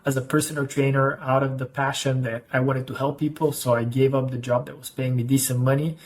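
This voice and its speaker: A young man from Bulgaria delivers a rapid, energetic pitch straight to camera. His voice sits at an ordinary middle pitch, and he keeps up a brisk sales patter as a business coach.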